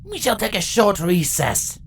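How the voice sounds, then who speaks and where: A man puts on a regal female changeling ruler from a fantasy audio story. The voice is pitched well above his own and swings widely. The queen speaks formally and coolly, with measured authority. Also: wildly swooping pitch, gravelly, fairly bright tone, slight background noise, exaggerated voice. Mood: contemplative, formal. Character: cold, regal changeling queen heading a council, composed, formal.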